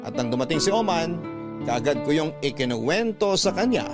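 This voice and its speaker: A man's voice: a little high, very animated, fairly quick, music in the background. A man playing a young letter sender, narrating his eerie experience earnestly in a Filipino radio drama. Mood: reflective.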